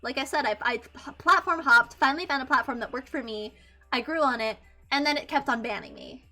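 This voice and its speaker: A woman in her twenties with a high-pitched voice, chatting casually on a livestream and swearing freely, rambling with many restarts. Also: fairly quick, bright, forceful tone, faint music behind. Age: 20s. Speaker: VTuber streamer.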